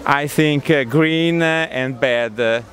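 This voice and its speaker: Slow, halting Italian-accented man. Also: a little high, a bit bright. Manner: halting, hesitant phrasing. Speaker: exhibitor, hobby model builder. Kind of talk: casual on-camera explanation of a display. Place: large busy exhibition sports hall. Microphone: handheld interview microphone.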